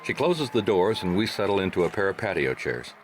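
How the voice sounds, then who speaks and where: A laconic gumshoe in a radio detective play. His voice sits fairly low, and he delivers his lines with dry, casual wit. There is a faint noise behind him. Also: very animated, brisk. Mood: wry narration. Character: easygoing male private detective, wry and laconic. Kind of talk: radio detective drama, first-person hardboiled narration.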